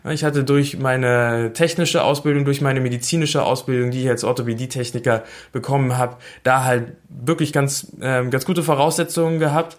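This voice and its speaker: A man's voice: medium pitch, fairly even intonation, moderate pace, hardly any pauses. A young man from Berlin talking casually about himself, with lots of hesitant fillers. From Berlin, Germany. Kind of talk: casual self-introduction.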